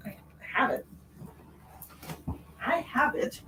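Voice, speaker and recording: A woman's voice: slow pace, pushed and bright, slight background noise, poor audio. A woman hosting a tarot livestream, chatting casually and rambling as she answers viewer comments, a little stuffy-nosed, talking from her car. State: stuffy nose.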